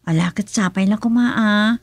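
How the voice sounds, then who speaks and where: A woman's voice: medium pitch, a little slow, no room sound. A woman playing a concerned family member in a radio drama comedy, with an Ilocano accent.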